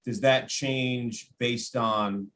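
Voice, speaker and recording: A man who works as a sports announcer, giving conversational analysis as a podcast guest in a measured, explanatory way. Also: medium pitch, fairly even intonation, a bit bright, a little hiss or hum.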